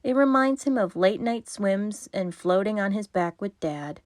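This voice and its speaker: A woman reads children's storybooks aloud with expression. Her pace is unhurried and slow, and the recording is close and dry with no room sound. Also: mid-range voice, animated, dry recording. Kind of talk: expressive scripted read-aloud narration.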